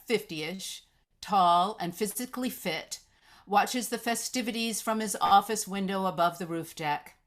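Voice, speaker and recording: A woman narrates a screenplay at a table read, reading out the action and scene headings. Her voice sits at an average pitch for a woman. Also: fairly bright tone. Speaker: stage-direction reader.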